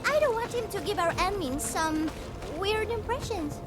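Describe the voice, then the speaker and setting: Young female game guide: bubbly, chatty, very high voice, animated delivery, music bed. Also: normal speed. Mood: curious, sheepish. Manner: bubbly, informal. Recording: music playing behind the voice.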